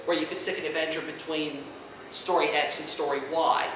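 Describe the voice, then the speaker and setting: A man's voice: a little high, little pitch movement, normal speed. A man hosting an informal convention panel, explaining things with dry humor. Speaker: panel host and podcast co-host. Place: indoor convention panel room. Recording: echoey room, distant mic, background noise.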